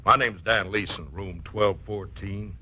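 An actor playing a naive, folksy Oklahoma oilman and mama's boy, halting and bumbling, in a 1950s radio comedy. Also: fairly low pitch, fairly even intonation, pushed and bright. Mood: shy, friendly. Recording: noisy, dull 1950s radio recording.

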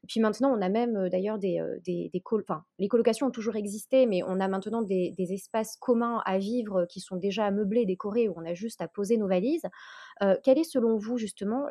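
A woman interviewer who picks up on her guest's answers and builds long questions, pausing on fillers as she goes. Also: mid-range voice, mellow, no room sound, clean studio sound. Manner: measured, with fillers while shaping long questions.

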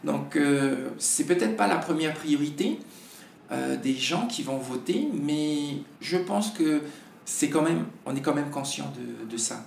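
A hoarse man, a podcast guest, talking in a halting way with many restarts. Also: on the high side, expressive, darkish tone, echoey room, slight background noise. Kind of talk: casual conversation.

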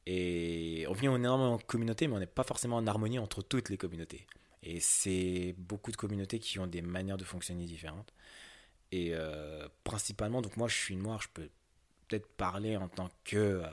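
A young man from France speaks in a low, unhurried voice, answering questions in an interview. He hesitates often, stacking fillers and restarting his sentences as he gropes for the right words.

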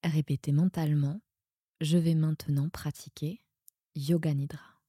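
A woman guiding a sleep meditation in a soothing, hushed, breathy manner that often nears a whisper. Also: deep voice, flat delivery, unhurried, dry recording, clean studio sound. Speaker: yoga nidra guide and podcast host.

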